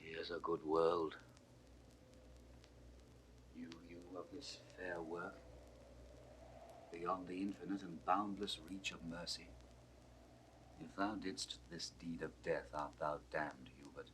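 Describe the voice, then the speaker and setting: A man's voice: sits low, steady tone, slow, long pauses, a bit bright, slight background noise, rough recording. An actor playing a stern, suspicious, grief-struck nobleman in weighty, declamatory 1960s Shakespearean style. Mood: menacing, accusing. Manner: declamatory, weighty verse delivery. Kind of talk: 1960s classical Shakespearean recorded acting.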